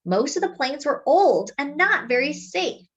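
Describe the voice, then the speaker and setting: High, expressive woman reading a children's story. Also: lo-fi sound. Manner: dramatic, deliberate phrasing with drawn-out words for emphasis. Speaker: storyteller reading a picture book aloud. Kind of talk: expressive children's read-aloud narration.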